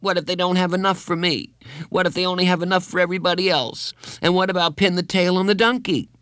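A man voicing an anxious, excitable young schoolboy in an audiobook, high, eager and whiny. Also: fast talker, clear, resonant tone, no room sound, studio quality. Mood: worried. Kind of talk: audiobook character voice.

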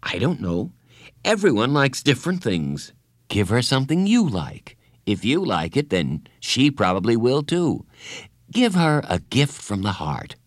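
A man voicing a gentle, patient woman school counselor in an audiobook, speaking calmly and kindly. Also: medium pitch, measured pace, no echo, clean studio sound, pitched above the performer's own voice. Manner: calm, kind, reassuring. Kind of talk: audiobook character voice.

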